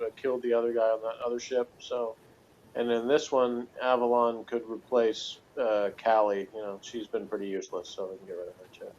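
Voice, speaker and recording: A man's voice: mid-range voice, a little mellow, low-quality recording. Bantering man in casual chat, faint noise. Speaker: review panelist. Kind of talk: casual group chat reviewing a TV show.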